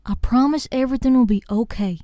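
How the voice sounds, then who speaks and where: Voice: mid-range voice, fast talker, warm tone, no echo, studio quality, pitched above the performer's own voice, natural voice. A performer voicing a caring grown farm mare with a Southern US accent, soothing and folksy, in a story reading. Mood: reassuring.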